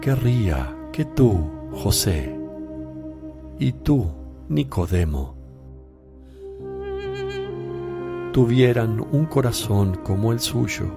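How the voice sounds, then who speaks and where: An actor playing a grave, compassionate and firm holy teacher in a Spanish radio drama, speaking solemnly and deliberately. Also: male voice, medium pitch. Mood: grave reproach.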